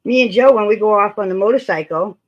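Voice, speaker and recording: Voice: medium pitch, fast. A co-host from Massachusetts chatting eagerly in a casual livestream. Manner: chatty, enthusiastic about the region. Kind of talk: casual chat. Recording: dull lo-fi sound.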